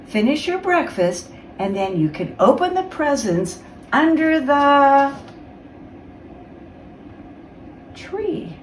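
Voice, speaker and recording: Woman playing a slow, doting mother pig. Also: high voice, big pitch swings, pitched above the performer's own voice, heavily acted. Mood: gentle. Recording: roomy, distant mic, faint noise.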